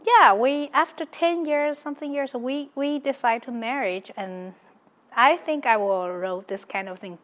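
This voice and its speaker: A woman's voice: sits high, measured pace, a bit bright. A woman from Taiwan with a Taiwanese accent, answering interview questions cheerfully in simple English. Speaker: guest.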